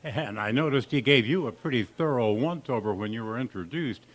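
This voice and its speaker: A man acts out an earnest, naive teacher in an old-time radio comedy. His mid-pitched voice swings widely, excitable and a bit pedantic. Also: fairly quick, natural voice. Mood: agreeable, earnest. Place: live stage performance at a radio history event.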